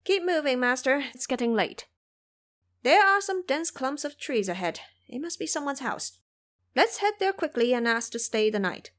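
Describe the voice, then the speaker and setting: A brash magical monkey king from an audio drama, acted out by a woman. He is boastful and commanding, throwing his voice up high with very animated, exuberant swings in pitch. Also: normal speed. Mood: urgent. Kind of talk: audio drama character acting.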